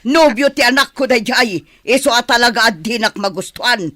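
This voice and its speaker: A stern, disapproving matriarch played by an actress in a radio drama, with a sharp, forceful voice. The recording is very dry. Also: female voice, a little high, moderate pace, dry recording. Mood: indignant, stern. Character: stern, disapproving wealthy mother, mistress of household. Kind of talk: Ilocano radio comedy drama acting.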